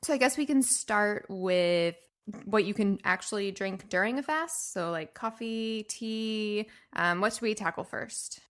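A woman's voice: mid-range voice, a little slow, fairly bright tone. A woman hosting a podcast in casual chat, bubbly, laughing often and filling gaps with ums. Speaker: podcast host.

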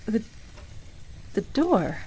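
An actress playing a grieving, skeptical woman in a radio play, emotional and halting, easily shaken as she speaks. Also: on the high side, very animated, darkish tone, slight background noise, heavily acted. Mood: puzzled, skeptical. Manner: halting, stammering, dramatic. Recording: music behind the voice.

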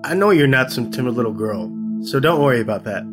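A woman's voice: a bass voice, lively intonation, fast talker, music in the background, pitched below the performer's own voice. A woman voicing a caring young boyfriend who speaks earnestly and reassuringly. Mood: concerned.

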